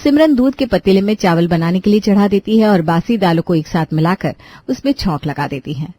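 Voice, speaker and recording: A woman narrates a scripted cartoon story. Her voice sits at an average pitch. The recording is dry and close. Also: lively intonation, fairly quick, dark tone, no echo.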